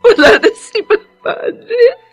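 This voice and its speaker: An actress with a Filipino accent playing a lonely, grieving daughter in a radio drama, tearful, halting and pleading. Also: female voice, very high voice, lively intonation, dry recording, faint music behind, full character voice. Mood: anguished despair. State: tearful, crying. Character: grieving lonely daughter Remedios, devoted former caregiver. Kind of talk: Tagalog radio drama acting.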